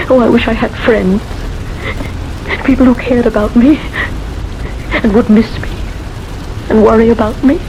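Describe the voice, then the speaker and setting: A woman's voice: mid-range voice, lightly acted. An actress playing a lonely, stubborn old woman who gets pushed around in her own house, in a radio drama. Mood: lonely, yearning. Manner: plaintive but stubborn. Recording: noisy old radio broadcast recording.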